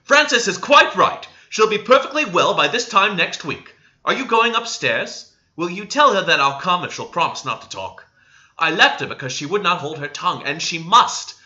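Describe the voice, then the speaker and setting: Male reader as young husband, storybook drama: hot-tempered, impatient, emphatic, high sharp delivery. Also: sing-song, moderate pace, highs cut off, heavily acted. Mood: insistent, agitated.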